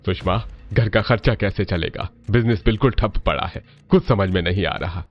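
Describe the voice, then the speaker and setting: A man voicing an emotional middle-aged family patriarch in a cartoon, expressive and emphatic. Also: low-pitched, normal speed, muffled recording, faint music behind. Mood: worried. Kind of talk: Hindi family cartoon dubbing.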